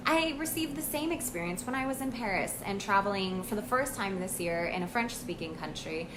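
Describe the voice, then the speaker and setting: An American woman, a language coach, talking about her own experience in a casual, earnest vlog monologue with frequent pauses and ums. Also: mid-range voice, animated, background noise. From United States. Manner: earnest, reflective, with pauses and fillers.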